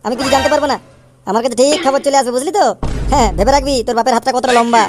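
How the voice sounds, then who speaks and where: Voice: high-pitched, steady tone, rapid-fire, noisy background, natural voice. A performer voicing a scornful, threatening father in a comic cartoon, sarcastic and hectoring. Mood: boastful warning. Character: scornful, threatening father scolding his little son.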